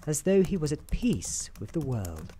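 A soft-spoken ASMR narrator of unclear sex telling a story in a gentle, deliberate, soothing way. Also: mid-range voice, very animated. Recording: keyboard clicks and noise behind. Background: computer keyboard typing.

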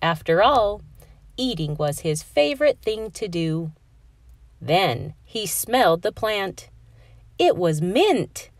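A woman reading a children's picture book aloud, with expressive phrasing aimed at young children. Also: medium pitch, slow, no room sound. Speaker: read-aloud storyteller.

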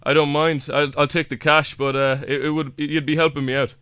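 A man's voice: fairly high pitch, level tone, brisk, pushed and bright, smooth, no room sound. A man playing a hesitant, earnest Irish amateur paparazzo. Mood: earnest, pleading. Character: earnest, hapless semi-professional paparazzo peddling photos. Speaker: prank caller. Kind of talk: prank phone call.

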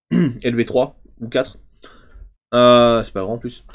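A man in his thirties casually reviewing card previews on a stream, chatty and thinking aloud, often trailing off into quieter asides. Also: medium pitch, level tone, slow. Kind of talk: casual game commentary. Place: home streaming room, indoors.

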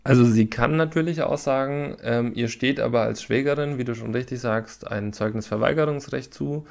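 A man's voice: medium pitch, moderate pace, gravelly. A man giving explanations as a legal expert in a podcast interview, repeating words for emphasis.

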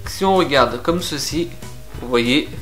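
A young man talks straight to the camera about grooming products, frank and lively, and drops little filler pauses as he goes. His pitch sits in a middle range. Faint music plays under his voice. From France. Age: young adult. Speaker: beard product reviewer. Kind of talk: casual product review to camera. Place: indoor room with shelves of figurines.

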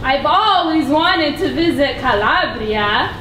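Woman puppeteer, flustered and laughing, very high voice, echoey hall heard from far off. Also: animated, slow pace, fairly bright tone. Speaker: puppeteer playing herself. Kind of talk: amateur puppet show acting. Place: stage in a hall with an audience. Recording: echoey room from far off with noise.

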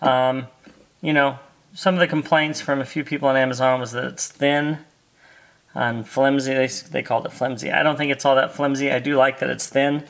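A man's voice: mid-range voice, little pitch movement, normal speed, vocal fry, fairly bright tone. A middle-aged American man giving a casual, easygoing hands-on tutorial, talking as he works inside a parked car. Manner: easygoing, talking while working with his hands. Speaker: host of a car accessory install video. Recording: faint background noise and clicks.

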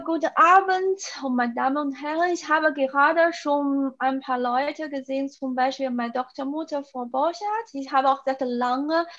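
Slow, careful woman with a Chinese accent. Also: high voice. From China. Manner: careful, halting, frequent hesitations. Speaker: composer. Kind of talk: introducing her piece on a video call. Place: small plain room, indoors. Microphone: laptop webcam on video call. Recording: dull lo-fi video call audio.

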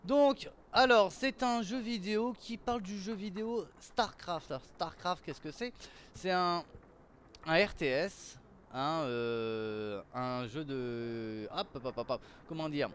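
A man's voice: a little high, takes it slowly, fairly bright tone, a little hiss or hum. A middle-aged man casually presenting a video game, conversational, repeating words and phrases for emphasis. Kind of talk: casual game showcase commentary. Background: music.

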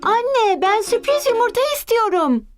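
A performer voicing a curious, excitable preschool boy in a Turkish-dubbed children's cartoon, eager and full of wonder. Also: very high-pitched, a little slow, soft background music, heavily acted. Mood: excited. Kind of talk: animated children's cartoon dub.

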